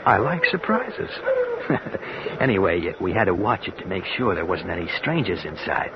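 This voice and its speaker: An actor playing a cocky, sly American escaped convict in a vintage radio drama, bossy and sardonic. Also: male voice, low-pitched, big pitch swings, moderate pace. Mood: smug. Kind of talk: 1950s radio drama acting. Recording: muffled, very noisy vintage radio recording.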